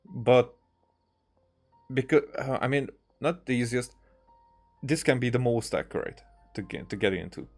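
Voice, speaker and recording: A man giving casual, unscripted game commentary in a halting, rambling way, circling back over his words. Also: mid-range voice, faint music behind. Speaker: gaming streamer playing and commenting on a game.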